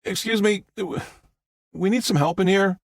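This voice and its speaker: A man's voice: lowish voice, very animated, vocal fry, slightly rough, full character voice. A man playing a rattled, grieving adult son who stammers and halts through his lines in an audiobook. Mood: frustrated. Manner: stammering, halting, flustered. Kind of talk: audiobook character dialogue.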